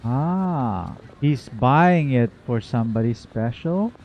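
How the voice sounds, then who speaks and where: A man's voice: medium pitch, expressive, slow, darkish tone. A man hosting a livestream, chatting casually to viewers in a rambling, informal, self-correcting way. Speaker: livestream host. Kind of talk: casual chat to viewers, game reaction. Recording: dull, muffled audio with faint music.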